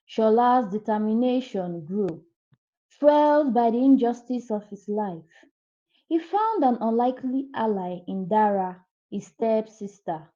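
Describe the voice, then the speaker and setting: A woman's voice: mid-range voice, warm tone, muffled recording. A woman narrating a scripted folktale as a storyteller.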